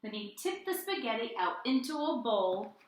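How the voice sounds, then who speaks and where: A woman teacher reading a story aloud and chatting to young children in an expressive way. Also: a little high, normal speed, a little hiss or hum. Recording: roomy indoor echo.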